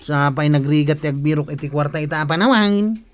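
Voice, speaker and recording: A plain-spoken village customer in a radio comedy, acted by a man, has a Northern Philippine accent. The voice is crisp and clean, a little higher than usual for a man. The delivery is indignant, as if setting things straight. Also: fast talker, lightly acted. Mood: advising, exasperated. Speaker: radio drama actor. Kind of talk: comedic Ilocano radio drama acting.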